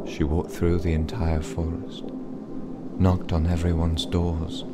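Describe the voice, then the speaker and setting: A man narrating a bedtime story in a dramatic, measured and suspenseful style. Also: deep voice, completely flat delivery, very noisy.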